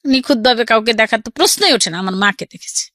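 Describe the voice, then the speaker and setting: A middle-aged woman with a Bangladeshi accent shares a personal account. Her voice sits fairly high and moves widely up and down as she relays other people's words. The sound is close and dry, like a studio. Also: quick pace, dry recording. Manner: animated, quotes people's words as she recounts. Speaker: guest telling her life story. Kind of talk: personal storytelling in an interview.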